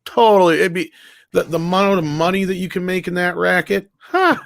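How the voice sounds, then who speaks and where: A man's voice: sits high, lively intonation, brisk, gravelly, a bit bright, dry recording, studio quality. A man co-hosting an online show in casual, opinionated chat, speaking bluntly and emphatically with plenty of swearing.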